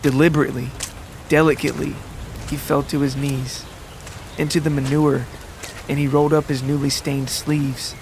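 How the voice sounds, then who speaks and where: A man reading a scripted literary story as a dramatic narrator, with deliberate, descriptive phrasing. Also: medium pitch, level tone, vocal fry, a little mellow, a little hiss or hum. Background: music and rain sounds.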